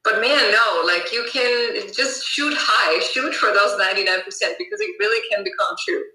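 A woman from Germany, a guest hypnotherapist chatting casually and pausing on lots of ums. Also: mid-range voice, animated, bright, forceful tone, roomy sound, rough recording. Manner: chatty, many ums and restarts. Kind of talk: casual podcast chat.

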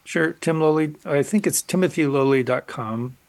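An older man, a guest artist on a radio interview, answering thoughtfully with frequent restarts and pauses. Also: a little high, creaky, darkish tone, no echo.

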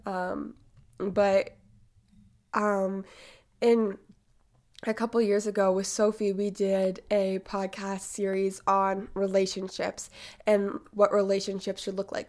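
A woman's voice: medium pitch, a little mellow. A woman aged eighteen hosting a podcast, congested from a cold, talking chattily with lots of fillers. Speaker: podcast host. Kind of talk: casual podcast monologue.

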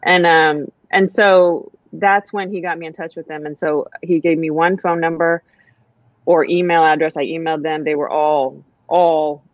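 An American woman speaks as a guest, thoughtful and halting, full of restarts as she searches for words. Her voice sits a little low. The recording is dry and close but somewhat dull, like a muffled audio track. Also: level tone, no echo. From United States. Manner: reflective, hesitant with many fillers. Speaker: guest, writer. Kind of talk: casual conversation.

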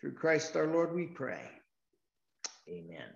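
A man leading a church service as pastor, talking in a slow, earnest way with frequent restarts. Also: a little high, very animated, creaky. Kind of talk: sermon. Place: church sanctuary. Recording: roomy, dull church recording.

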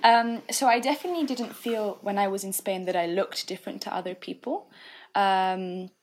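A young woman, a podcast guest, talking thoughtfully in casual conversation with frequent fillers and soft laughs. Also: medium pitch, steady tone, moderate pace, slight background noise. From England.

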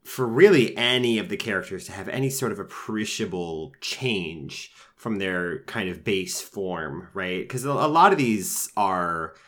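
A man with a lowish voice talks through his thoughts in a loose, casual podcast chat. He restarts his sentences often and drops in fillers as he picks at a film's pacing and characters. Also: expressive, measured pace, few pauses, fairly bright tone. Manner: conversational, frequent fillers and restarts, mock voices when quoting characters. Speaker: film reviewer.

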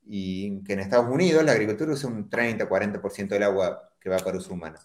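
Podcast guest: Córdoba-accented Argentine man, lowish voice, explanatory, echoey room. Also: fast talker. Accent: Córdoba Argentine Spanish. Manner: explanatory, checks in with frequent tag questions. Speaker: startup founder guest. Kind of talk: podcast interview.

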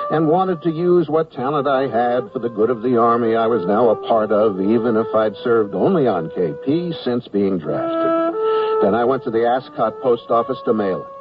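An actor playing a polite, hapless newly drafted war correspondent, narrating and acting in a wry radio comedy. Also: male voice, lowish voice, close to an everyday voice. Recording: dull, noisy radio recording.

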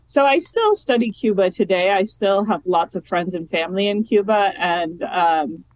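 A woman geography professor talking as an interview guest, chatty and relaxed, with lots of fillers and restarts. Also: medium pitch, normal speed, no echo, low-quality recording. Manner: chatty, many fillers and restarts, laughs easily. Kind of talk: casual academic interview.

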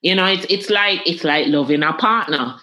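A woman's voice: deep voice, expressive, moderate pace, sharp tone, rough recording. A woman from Jamaica with a Jamaican accent, talking candidly and animatedly as an interview guest, often repeating words for emphasis.

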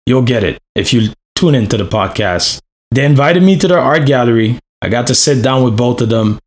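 A man's voice: mid-range voice, expressive, moderate pace. A man, the host of a podcast, reading an upbeat promotional intro.

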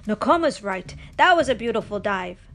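A woman's voice: medium pitch, lively intonation, normal speed, gravelly, a bit bright, slight background noise. A woman voicing a friendly, admiring young village man in a children's story, with an easygoing manner.

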